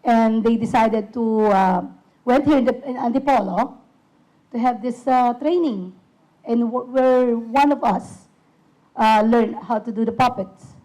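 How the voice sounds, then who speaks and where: A woman with a husky voice giving a hesitant, casual talk about her puppet ministry, with many fillers and restarts. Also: a little high, a little slow, mellow, poor audio. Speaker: puppet ministry team member. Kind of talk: casual presentation.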